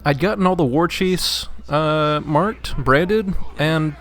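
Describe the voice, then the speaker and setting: A man's voice: fairly high pitch, slow, fairly bright tone. A man joining as guest co-commentator, chatting casually about games in a jokey, anecdotal way with hesitant phrasing. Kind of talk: casual game chat. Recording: faint background noise.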